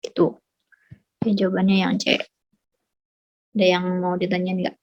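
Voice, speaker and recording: A woman's voice: lowish voice, completely flat delivery, normal speed. A woman tutoring math in a casual, chatty way, often asking whether her students follow. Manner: chatty, checks understanding often. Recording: slightly dull lo-fi audio.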